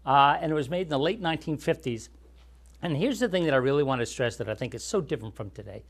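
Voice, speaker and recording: A man's voice: on the high side, animated, fast talker. A man hosting a classic movie show, chatting with and interviewing young guests in an explanatory, friendly way. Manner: friendly, explanatory, asks follow-up questions. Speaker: host.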